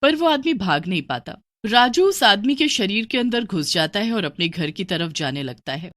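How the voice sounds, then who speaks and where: A woman's voice: medium pitch, big pitch swings, moderate pace, fairly bright tone, no echo, clean studio sound. A woman narrating a scripted horror story for a cartoon, in a storyteller's style.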